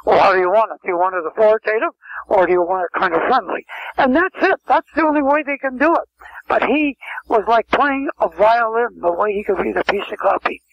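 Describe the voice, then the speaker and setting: A man's voice: sits high, a little fast, creaky, dry recording. An older man telling rambling anecdotes as an interview guest, often restarting and wandering into asides. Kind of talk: casual storytelling interview. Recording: muffled lo-fi phone-quality sound.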